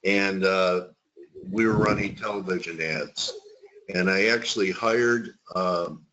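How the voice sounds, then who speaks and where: A man with a fairly low voice hosts a casual trading webinar. He talks in a chatty, complimentary way. The audio is somewhat muffled, as on an online call. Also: fairly even intonation, takes it slowly, a bit bright. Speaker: webinar host. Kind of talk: casual market webinar chat.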